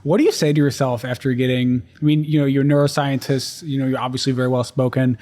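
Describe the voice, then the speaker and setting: Man, podcast host, rapid talker, chatty, laughs often. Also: medium pitch, flat delivery, mellow. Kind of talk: casual interview conversation.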